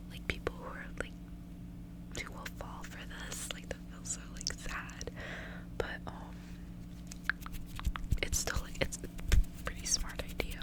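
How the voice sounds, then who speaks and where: A woman whispering close to the mic in a breathy, unvoiced ASMR style, unhurried and informal as a host. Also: poor audio. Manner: casual, rambling, frequent filler "like". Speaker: ASMR host reading a book aloud. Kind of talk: whispered ASMR reading and casual chat. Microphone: close ASMR microphone.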